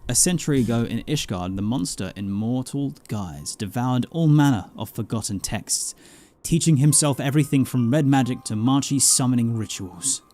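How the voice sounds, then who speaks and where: A man reads out a grave scholarly mentor in a fantasy video game. He recounts dark lore formally and deliberately, in a mid-pitched voice. Faint music plays underneath. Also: expressive, natural voice. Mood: grave, dramatic. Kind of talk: dramatic reading of fantasy game dialogue.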